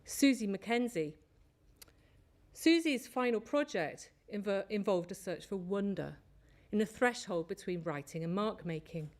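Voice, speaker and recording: A woman of middle pitch gives a conference presentation from a lectern. She reads from prepared notes at a measured pace. She corrects the odd slip as she goes. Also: lively intonation. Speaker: conference speaker, lecturer. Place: lecture hall.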